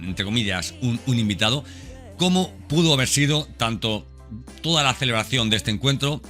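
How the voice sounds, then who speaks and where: Bright-voiced man podcasting over music. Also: fairly low pitch. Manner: conversational, addresses listener directly, repeats phrases for emphasis. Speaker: podcast host. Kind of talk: informal unscripted monologue. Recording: background music under the voice. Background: background music.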